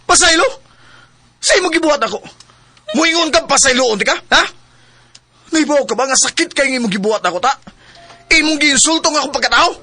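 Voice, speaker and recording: A man's voice: very high-pitched, expressive, fairly quick, pauses often, pushed and bright, full character voice. An actor playing a hurt, jealous live-in partner in a radio drama, reproachful and emphatic with his questions. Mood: angry, wounded. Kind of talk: radio drama acting in Cebuano.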